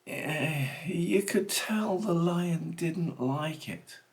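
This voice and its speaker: A man theatrically reciting comic verse with dramatic, deliberate phrasing. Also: fairly high pitch, a little hiss or hum. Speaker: reader of a comic monologue poem.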